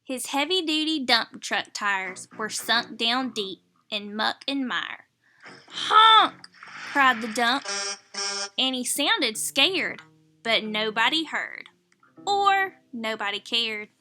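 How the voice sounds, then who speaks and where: A woman reading a storybook aloud to preschoolers as expressive narration, with music behind her. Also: a little high, slow.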